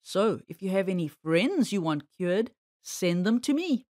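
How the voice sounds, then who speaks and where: Woman voicing a sly, slow-drawling storybook bear. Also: medium pitch, big pitch swings, mellow, no echo, studio quality, voice pushed down. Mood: coaxing, boastful. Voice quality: harsh. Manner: coaxing, drawn-out, boastful. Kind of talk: children's storybook character voice.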